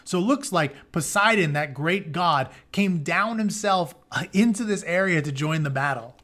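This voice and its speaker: High-pitched man reading an epic aloud. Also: animated, normal speed, fairly bright tone. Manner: dramatic reading broken by plain informal paraphrase. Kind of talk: read-aloud of an epic retelling with casual asides.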